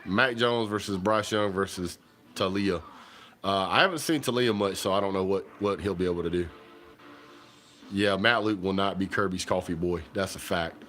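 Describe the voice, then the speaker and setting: A man, chatting casually to viewers on a livestream while stuffy and hoarse from a cold. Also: low voice, normal speed, fairly bright tone. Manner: rambling, folksy, talks to viewers directly. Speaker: livestream host. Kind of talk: casual livestream chat about football. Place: home kitchen.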